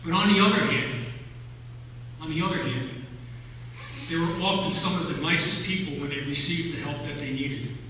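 An older man gives a sermon in a deliberate, phrase-by-phrase delivery. His voice sits a bit high for a man and carries through a big church hall with long, heavy reverb. A noticeable hum runs under the distant recording. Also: sharp tone. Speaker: preacher. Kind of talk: sermon, telling stories from notes. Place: large church sanctuary, at the pulpit.

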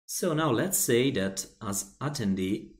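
A man whose first language is Italian, walking through a software feature step by step in a plain explaining manner with frequent restarts. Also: mid-range voice, lively intonation, a little slow. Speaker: software tutorial presenter. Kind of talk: screen-recorded software walkthrough.

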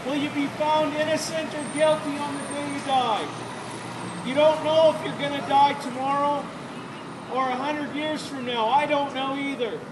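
High-pitched male street preacher, far off outdoors. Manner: projected, earnest public address in short deliberate phrases. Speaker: open-air street preacher. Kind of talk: street sermon. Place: busy outdoor train platform in a city at rush hour. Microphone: handheld camera several metres away. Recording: distant voice in loud outdoor city noise, echoey. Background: loud street ambience and vehicles.

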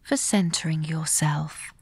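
A woman guiding a scripted meditation in a soft, breathy near-whisper, calm, soothing and unhurried with long pauses. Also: deep voice, no echo. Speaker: meditation guide. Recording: steady background hiss.

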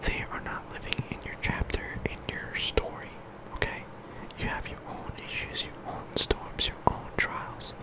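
A soft-spoken ASMR creator, sick at the moment, whispering a casual, earnest ramble full of slang and fillers. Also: measured pace, poor audio. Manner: earnest encouragement.

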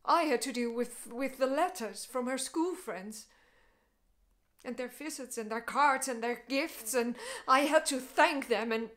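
A woman performing a stage monologue as a grieving, bitter mother confronting her ex-husband, speaking in deliberate, emotionally intense phrases. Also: high voice, a bit bright. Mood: accusing, distraught.

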